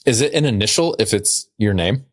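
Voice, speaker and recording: A man's voice: fairly low pitch, expressive, a little fast. A man co-hosting a podcast, reading out trivia and cracking jokes in a dry, casual way. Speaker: podcast co-host.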